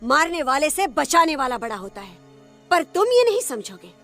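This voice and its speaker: Stern cartoon animal lady, high pitched, reproachful, bit of music behind. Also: big pitch swings, normal speed, a bit bright, full character voice. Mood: indignant. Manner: firm, reproachful. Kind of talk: animated film character acting. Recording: background music under the voice.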